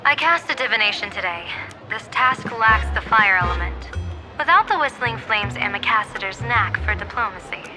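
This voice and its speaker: Game role, for an actress: elegant lady general who tells fortunes; mid-pitched, formal, courteous, gently teasing, music behind. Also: lively intonation, close to an everyday voice, rough recording. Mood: solemn, courteous. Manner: polite, measured, formal. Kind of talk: video game voice acting.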